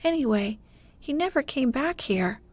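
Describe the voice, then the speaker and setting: A woman's voice: high voice, animated, moderate pace, a little mellow, dry recording, voice pushed up. Woman putting on a thin, piping old man. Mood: matter-of-fact, reminiscing. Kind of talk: storybook character voice.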